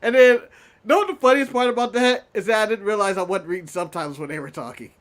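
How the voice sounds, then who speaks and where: A man's voice: sits high, fast, fairly bright tone. A man co-hosting a casual show, bantering and laughing often as he chats. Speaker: podcast co-host. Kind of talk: casual chat.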